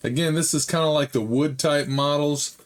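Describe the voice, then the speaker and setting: A man hosting a hobby model-building channel, talking in a casual, rambling way with frequent fillers, his voice slightly hoarse. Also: on the high side, steady tone, normal speed. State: slightly hoarse, recovering from a chest illness. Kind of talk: casual build vlog narration.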